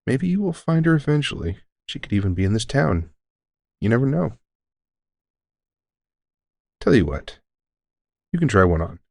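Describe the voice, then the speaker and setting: A man playing a deranged centuries-old elf shopkeeper who is courteous, formal and unsettlingly calm, in an audio roleplay. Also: mid-range voice, normal speed, vocal fry, long pauses, no room sound, clean studio sound. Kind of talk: scripted audio roleplay addressing the listener.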